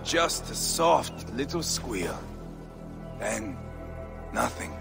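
An actor playing a treacherous, unhinged Viking warlord in a game cutscene, taunting with sudden shouts. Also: male voice, sing-song, drawn-out words, vocal fry, heavily acted. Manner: taunting, swings between low menace and shouting. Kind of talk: video game cutscene acting. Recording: music under dialogue.

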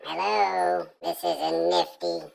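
A man voicing a baby through a pitch-shifting voice changer app. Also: fairly even intonation, slow pace, vocal fry, full character voice. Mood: playful.

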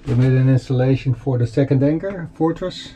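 A man's voice: medium pitch, brisk, warm tone, faint music behind. A man giving an informal explanatory walkaround as a boat owner, with somewhat hesitant, pieced-together phrasing. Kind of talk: informal explanatory tour commentary. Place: aboard a sailing catamaran in a marina, in bow compartments and on deck. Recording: clear outdoor and engine-like background noise.

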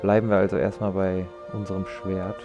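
A man's voice: deep voice, little pitch movement, fairly quick, over loud music. A man giving casual let's play commentary, rambling and joking irreverently.

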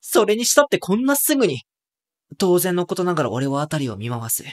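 A man playing a wry, self-mocking high school boy who narrates an anime audio drama with dry asides. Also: huge pitch swings, a little fast, no room sound. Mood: uneasy.

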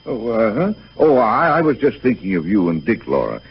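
An actor playing a tender, grieving middle-aged father in 1930s radio style, husky and hesitant. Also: on the low side, sing-song, moderate pace, slight background noise. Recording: muffled 1930s radio broadcast.

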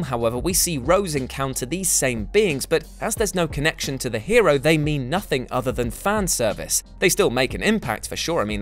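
A man in his thirties presenting a scripted, jokey countdown with theatrical emphasis and sarcastic asides. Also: medium pitch. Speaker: list video presenter. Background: background music.

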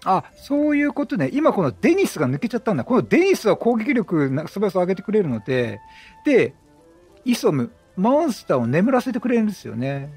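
A man doing chatty game commentary, animated, talking to himself and to the characters on screen. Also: high voice, fairly quick, breathy edge, faint music behind. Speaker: gameplay commentator.

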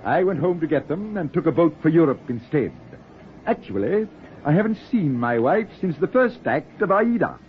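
Wry middle-aged husband, tinny old radio. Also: male voice, on the high side, big pitch swings, moderate pace. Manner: dry, witty, theatrical. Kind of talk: 1940s radio drama acting. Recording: tinny, noisy 1940s radio recording.

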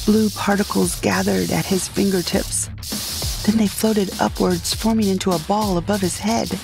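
A woman's voice: medium pitch, steady tone, moderate pace, creaky. A woman narrating a first-person comic science fiction audio drama. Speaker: narrator and lead character. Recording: music behind the voice.

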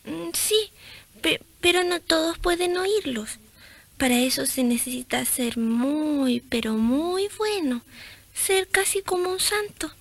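High-voiced, devout little girl. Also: expressive, slow, heavily acted. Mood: earnest, childlike. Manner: hesitant, childlike, repeats word starts. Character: gentle, devout little girl teaching prayer. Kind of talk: radio drama acting.

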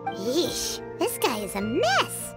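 A squeaky, tiny fairy girl. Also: huge pitch swings, slow, full character voice. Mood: exasperated. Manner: squeaky, cheeky, blunt. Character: tiny floating fairy girl, childlike, cheeky and blunt. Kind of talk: video game voice acting. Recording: loud music over the dialogue.

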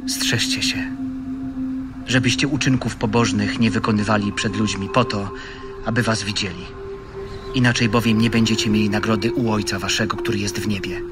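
A calm, authoritative biblical teacher acted by a man with a lowish voice. He instructs earnestly and in measured phrases, as in a radio drama, with prominent music behind him. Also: lightly acted. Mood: earnest warning. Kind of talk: dramatised audio Bible acting.

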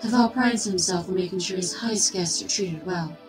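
A woman voicing a polite but unyielding robot assistant in an audio drama, formal, measured and repetitive. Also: on the low side, over music, natural voice, poor audio. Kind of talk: full-cast audio drama.

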